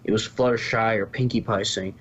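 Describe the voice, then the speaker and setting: Low-pitched man narrating a story. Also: fairly even intonation, a little fast, muffled recording, slight background noise. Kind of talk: scripted fan fiction narration.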